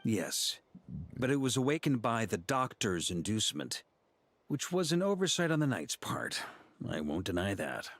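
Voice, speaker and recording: A dutiful knight in a video game. His mid-pitched voice moves expressively, yet stays formal, measured and grave. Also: very animated, fairly bright tone, no room sound. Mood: grave, composed.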